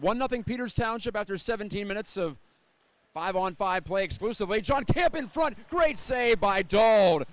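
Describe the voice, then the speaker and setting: A man's voice: sits high, a bit bright. Clean-voiced American hockey play-by-play man. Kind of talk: live sports commentary.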